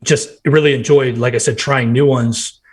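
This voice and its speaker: Man, 30s, nasal, husky and raspy, rambling casual storyteller, podcast guest. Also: medium pitch, fairly even intonation, moderate pace. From Nebraska. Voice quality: nasal and hoarse. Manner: rambling, quotes himself in bursts. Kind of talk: casual chat.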